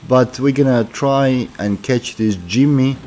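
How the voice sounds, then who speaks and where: A man's voice: mid-range voice, measured pace, mellow, slight background noise. A man with a sore throat from a cold, giving casual commentary on his racing game as he plays, thinking aloud with many fillers. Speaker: gaming commentator.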